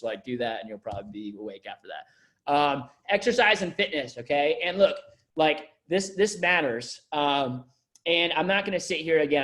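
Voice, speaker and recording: Young man rambling through casual tips. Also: medium pitch. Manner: rambling, many fillers, animated emphasis. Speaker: coach giving wellness tips to a group. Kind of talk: casual motivational talk.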